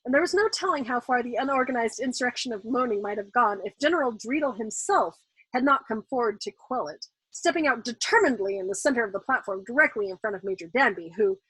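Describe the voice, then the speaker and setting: Higher-voiced woman reading aloud, slightly husky. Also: expressive, fast talker, a bit bright, dry recording, rough recording. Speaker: storyteller reading a novel aloud. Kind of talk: expressive audiobook-style narration.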